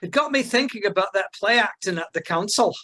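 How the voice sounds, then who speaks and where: Lady doing homely hobbit gardener guy, husky, voice goes up and down a lot. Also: mid-range voice, animated, fairly quick, a bit bright. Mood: uneasy. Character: earnest, rustic male hobbit gardener servant. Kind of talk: table read of a fantasy script.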